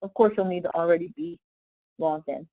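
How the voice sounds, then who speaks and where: A woman's voice: on the low side, fairly quick, warm tone, dry recording, highs cut off. Woman trainer, casual step-by-step tutorial, dry sound. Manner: informal, step-by-step explaining with check-in questions. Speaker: software trainer. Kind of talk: casual live screen-share tutorial.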